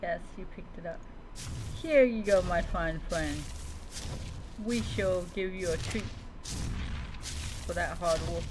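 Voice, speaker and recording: A woman's voice: fairly low pitch, takes it slowly, pauses often, background noise. A woman doing live in-character gameplay commentary, talking playfully to creatures with mock-heroic taunts. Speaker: game streamer playing a roleplay survival game.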